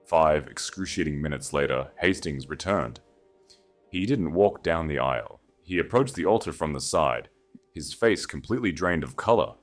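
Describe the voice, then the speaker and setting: Very low-voiced man narrating dramatically. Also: lively intonation, moderate pace, faint music behind. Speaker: audiobook narrator. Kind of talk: dramatic scripted story narration.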